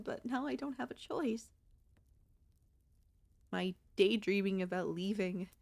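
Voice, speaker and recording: A woman voicing a gentle, wistful and anxious young centipede monster girl in an ASMR audio roleplay, speaking softly and hesitantly in a conversational way. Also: fairly high pitch, creaky, no echo, heavily acted. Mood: sad, wistful.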